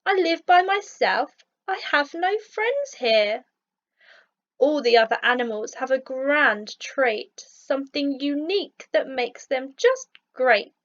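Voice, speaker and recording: A plaintive, high-pitched young boy rhino from a children's storybook , acted out by a woman reader. He is hopeful and earnest, longing to be special, and the sound is a little dull and lo-fi. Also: lively intonation, slow, a bit bright, exaggerated voice. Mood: sad, lonely.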